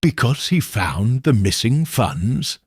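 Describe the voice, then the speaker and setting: Man reading earnest companion doctor, husky, slow, questioning. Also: mid-range voice, very animated, dry recording, clean studio sound, full character voice.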